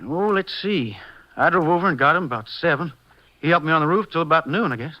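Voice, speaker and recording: Man playing a friendly rural neighbor, easygoing, quick talker, higher voice. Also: expressive, close to an everyday voice. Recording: muffled old radio broadcast.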